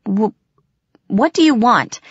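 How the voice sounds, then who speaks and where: A woman's voice: mid-range voice, lively intonation, normal speed, dry recording, lo-fi sound, pitched above the performer's own voice. A woman voicing an anxious human girl in a fantasy audiobook, earnest, hesitant and pleading. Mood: nervous, hesitant.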